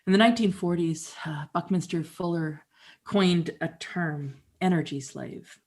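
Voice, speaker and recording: A woman performing a dramatic reading as a musician and storyteller, with measured, reflective delivery. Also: on the low side, darkish tone. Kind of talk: dramatic reading of documentary theater.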